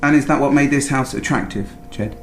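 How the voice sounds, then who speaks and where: An actor playing a police detective in a naturalistic radio thriller, casually chatty but probing and quietly insinuating. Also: male voice, medium pitch, brisk, soft background music, lightly acted. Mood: pointed, wry. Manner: casual but pointed, questioning.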